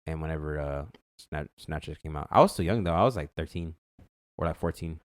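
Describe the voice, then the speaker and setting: A young man with a very low voice chats on a podcast in a relaxed, rambling way, filling gaps with fillers and restarts. The recording is close and dry with no room sound. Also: brisk, dry recording. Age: young adult. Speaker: podcast co-host. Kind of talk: casual chat.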